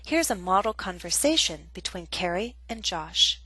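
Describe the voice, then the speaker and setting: A woman teaching an English grammar lesson in a clear, measured, scripted way. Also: fairly low pitch, very animated, pushed and bright, no echo.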